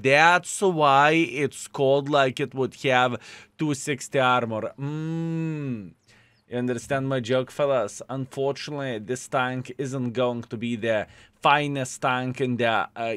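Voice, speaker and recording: A man's voice: mid-range voice, a bit bright, clean tone, no room sound. Male host, Lithuanian accent, casual exclamatory gameplay talk, dry close-miked sound. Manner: chatty, exclamatory, addresses viewers as fellas. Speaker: gaming video host.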